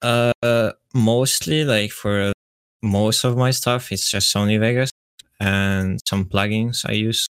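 A young man with a Polish accent talks in a low, steady, even tone and keeps pausing on fillers as a relaxed guest on a podcast. His voice is smooth and clear, and the sound is close and dry. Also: no echo. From Poland. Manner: relaxed, hesitant, filler-heavy. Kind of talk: casual podcast chat.

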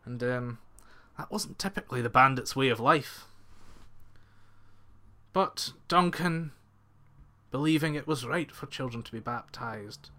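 A man reading a folk tale aloud as a storyteller, with deliberate, broken phrasing and pauses. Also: medium pitch, pushed and bright.

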